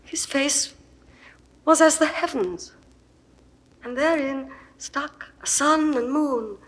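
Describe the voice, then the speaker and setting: An actress playing a proud, grieving Egyptian queen in a classical verse recording, moving from hushed whispers to ringing outbursts. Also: sits high, slow pace, low-quality recording. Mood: grieving, dreamy.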